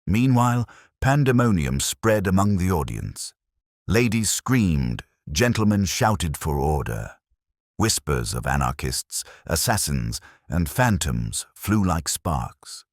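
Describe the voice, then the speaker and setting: A man narrates a detective story in an extremely low voice. His delivery is measured, formal and theatrical, with lively pitch movement, and the sound is close and dry. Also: dry recording. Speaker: audiobook narrator.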